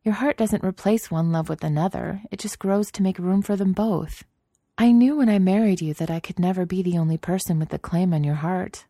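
A woman reading a story aloud, voicing a calm, loving young woman, a little higher than her narration. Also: fairly quick, dry recording, studio quality, lightly acted. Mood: gently reassuring.

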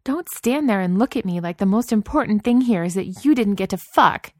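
A woman's voice: medium pitch, moderate pace, darkish tone, dry recording, studio quality, pitched above the performer's own voice, close to an everyday voice. A woman voicing a frustrated young woman artist who speaks in an impassioned, raised voice.

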